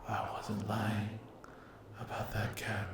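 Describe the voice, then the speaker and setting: A man voicing a cruel, mocking sleep paralysis demon in a breathy ASMR roleplay, taunting and condescending in drawn-out phrases. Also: fairly low pitch, monotone, background noise. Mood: smug, possessive. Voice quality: breathy whisper. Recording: roomy echo.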